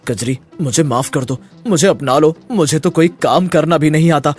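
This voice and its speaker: A man's voice: fairly high pitch, normal speed, darkish tone, slightly rough, faint music behind. A man voicing a selfish, domineering young drunkard husband in a dramatized moral story. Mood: wheedling.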